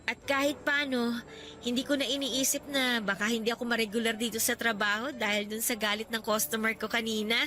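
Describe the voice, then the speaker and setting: An actress playing a shy, self-doubting young woman contract worker in a radio drama, speaking softly and hesitantly. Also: a little high, fairly even intonation, a little fast. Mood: warming. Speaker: Karen.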